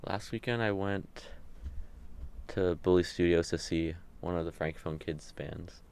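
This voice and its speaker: A Swiss-born man whose first language was Swiss German, a band member answering interview questions in a relaxed, rambling way full of fillers. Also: deep voice, a little slow, creaky, smooth. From Switzerland. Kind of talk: casual interview.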